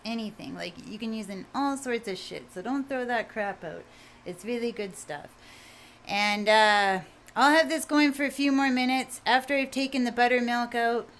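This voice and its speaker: A woman with a quiet voice, casually explaining a recipe in a home kitchen video, rambling a bit with fillers. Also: on the high side. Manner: relaxed, rambling, with fillers and mild swearing. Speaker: home cook vlogger.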